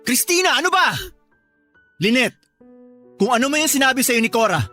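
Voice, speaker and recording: A man's voice: very high voice, lively intonation, normal speed, pushed and bright, faint music behind, full character voice. An actor playing an agitated middle-aged husband and father in a radio drama, pleading and exasperated.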